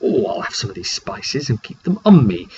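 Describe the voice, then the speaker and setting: A man giving an informal, dramatic recap of a tabletop roleplaying session as game master, telling it like an animated story. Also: mid-range voice, normal speed, breathy edge. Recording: dull lo-fi mic with background noise.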